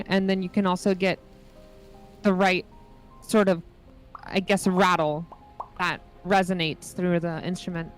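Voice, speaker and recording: Matter-of-fact young woman explaining. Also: mid-range voice. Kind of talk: casual chat.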